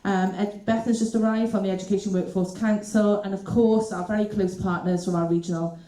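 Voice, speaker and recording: A woman's voice: medium pitch, fairly even intonation, brisk, dark tone, roomy sound, low-quality recording. A woman co-hosting an event, welcoming guests in a chatty way with many fillers. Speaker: event co-host. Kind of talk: welcome speech.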